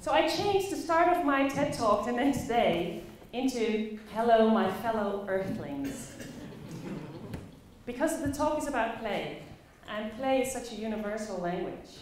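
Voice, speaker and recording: A woman with a Dutch accent gives a storytelling talk in a highly reverberant room. Her voice sits on the high side, and she speaks expressively with dramatic pauses. There is slight noise behind her. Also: expressive. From Netherlands. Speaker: conference speaker, play facilitator. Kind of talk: personal storytelling talk to a live audience. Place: echoey indoor conference room. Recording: very echoey room, faint background noise.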